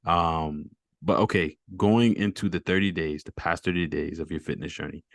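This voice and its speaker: A man's voice: deep voice, steady tone, normal speed, creaky, no room sound. A man hosting a casual fitness interview over a video call, upbeat and encouraging, with easy slang. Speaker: host.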